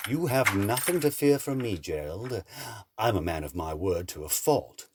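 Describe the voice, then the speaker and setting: A man's voice: lowish voice, big pitch swings, moderate pace, very noisy. A man playing a suave, menacing villain in an audio drama, mannered, condescending and mockingly polite. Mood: scornful.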